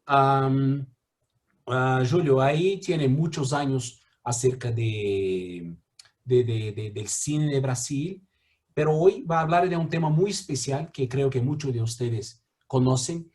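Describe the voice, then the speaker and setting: A man's voice: medium pitch, takes it slowly, darkish tone. A man with a Brazilian Portuguese accent, mediating a talk and introducing a guest with many fillers and restarts.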